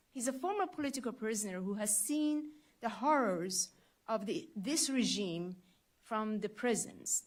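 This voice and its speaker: Woman moderating a formal briefing. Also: mid-range voice. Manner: measured, formal, some fillers. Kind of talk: formal introductions.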